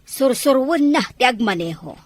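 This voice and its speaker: A woman playing a chatty young village friend, expressive and sympathetic, in a radio drama. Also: high voice, moderate pace, no echo.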